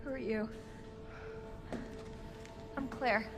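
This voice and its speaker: A woman's voice: fairly high pitch, fairly even intonation, extremely slow, gravelly, over loud music, exaggerated voice. An actress playing a determined, protective young woman in a horror game, speaking with urgent, blunt emotion. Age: young adult. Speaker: video game protagonist. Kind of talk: cinematic game voice acting.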